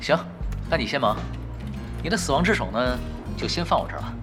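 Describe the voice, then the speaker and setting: A man playing a casual gamer in an audio drama, talking dryly with a needling, unhurried calm. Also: medium pitch, music in the background, close to an everyday voice. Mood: dry, teasing. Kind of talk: radio drama acting.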